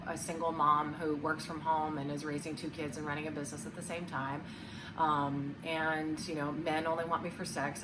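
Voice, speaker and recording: A woman in her forties, an animated, loud-voiced coach, talking casually and frankly to camera with lots of fillers and restarts. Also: sits low, distant mic, background noise. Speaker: relationship coach. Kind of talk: casual vlog talk to camera.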